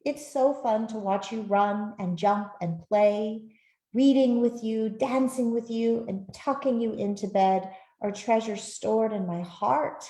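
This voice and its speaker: A woman reading a picture book aloud to children in a gentle, expressive storytelling manner. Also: on the high side, unhurried. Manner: gentle, expressive, with soft asides to listeners. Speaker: author reading her own picture book. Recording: roomy, echoey sound.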